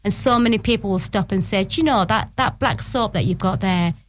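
A middle-aged woman guest on a radio interview, explaining her small business eagerly and warmly, with the odd restart. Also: mid-range voice, fairly quick, a little hiss or hum.

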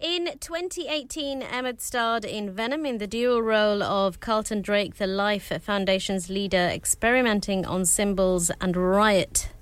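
A woman hosting a casual celebrity commentary, pausing often with filler sounds as she reads out facts. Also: mid-range voice, smooth, no echo, faint music behind. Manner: hesitant, many filler sounds. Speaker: host.

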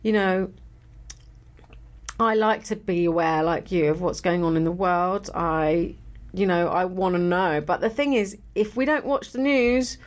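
Slightly croaky woman co-hosting. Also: on the low side, measured pace. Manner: chatty, reflective, laughs easily. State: slightly croaky after illness. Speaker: podcast co-host. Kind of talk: casual radio chat.

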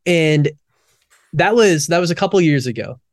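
Youthful musician, slightly high voice. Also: male voice, moderate pace. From United States. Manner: relaxed storyteller, laughs often, many fillers. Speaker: musician guest. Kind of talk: casual interview chat.

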